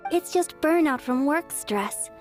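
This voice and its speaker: A sweet, stammering young healer. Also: female voice, high voice, expressive, unhurried, faint music behind. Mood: caring. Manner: stammers and talks around things when caught out. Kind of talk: game voice acting.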